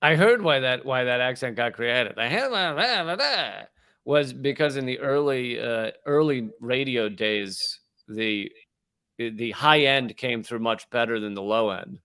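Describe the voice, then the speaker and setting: A man co-hosting a comedy podcast talks in a wry, rambling way and laughs between lines. His voice sits in a middle pitch. The sound is close and dry, with no room to it. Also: a little slow, bright, forceful tone, clean tone, no room sound. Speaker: podcast co-host. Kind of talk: casual comedy chat.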